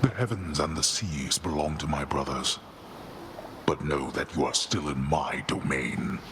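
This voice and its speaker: An actor playing a stern, imperious old god of the dead in a video game, formal and commanding. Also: male voice, a bass voice, a little slow, background noise.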